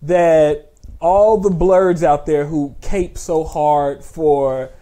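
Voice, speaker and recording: A man with a somewhat high voice co-hosts a movie talk show. He speaks casually but emphatically, pushing his opinions and repeating words to drive them home. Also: fairly even intonation, extremely slow, dark tone. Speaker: co-host of a film talk show.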